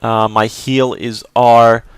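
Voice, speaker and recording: A young man giving casual, rambling commentary while he plays a video game, with lots of fillers and sudden shouts. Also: medium pitch, little pitch movement, slow pace, clear, resonant tone, no echo. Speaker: gameplay video host. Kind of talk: casual let's play commentary.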